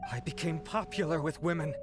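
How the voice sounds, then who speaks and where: An actor voicing a handsome, proud, melancholy young man narrating his past in a video game, measured and dramatic. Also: fairly high pitch, natural voice. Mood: proud, wistful. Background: music.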